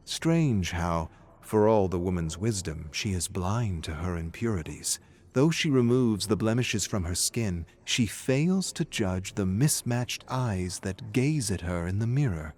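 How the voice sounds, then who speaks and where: A man with a low voice narrates a horror tale. He takes his time, reading the script with solemn, measured drama. There is noticeable ambient noise behind him. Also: a little mellow.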